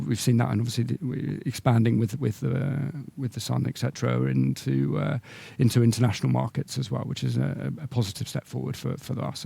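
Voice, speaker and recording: A man speaking as a company executive, answering questions on a business call in measured corporate language, with hesitant repetitions. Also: mid-range voice, gravelly, mellow, breathy edge, no echo.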